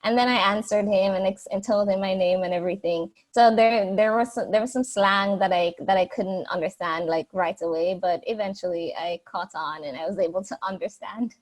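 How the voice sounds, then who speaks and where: A woman's voice: medium pitch, a little fast, hardly any pauses. Woman chatting as a guest. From Jamaica. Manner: chatty, frequent restarts and fillers. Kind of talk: casual interview answers.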